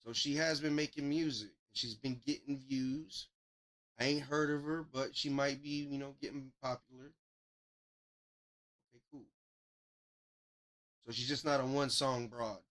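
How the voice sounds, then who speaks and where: A man's voice: mid-range voice, steady tone, a little slow, pauses often, a bit bright. A man hosting a music review livestream, giving casual, slangy, off-the-cuff commentary on songs. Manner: slangy, informal. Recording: music playing behind the voice. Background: music tracks being reviewed.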